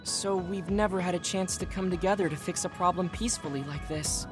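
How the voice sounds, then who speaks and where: A woman's voice: fairly low pitch, natural voice. A woman playing a composed, matter-of-fact young soldier in an anime game dub, speaking formally. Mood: dry, firm. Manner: formal, measured phrasing. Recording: music clearly behind the voice.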